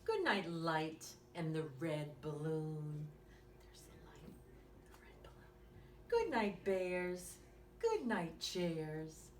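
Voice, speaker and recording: A woman presents a children's storytime in a sing-song, lively voice that rises and falls widely. She takes her time and speaks straight to young listeners. The recording is roomy and echoey, picked up some way from the mic. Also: low voice, slow pace. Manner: sing-song, simple questions addressed to small children. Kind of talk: read-aloud storytime and craft lesson for young children. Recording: echoey room, distant mic, faint noise.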